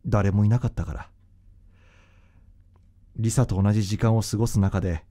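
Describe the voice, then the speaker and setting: A man's voice: fairly low pitch, warm tone, close to an everyday voice. A man playing an aloof but tender young boyfriend in a radio drama, blunt and a little bashful. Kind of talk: Japanese radio drama acting and narration.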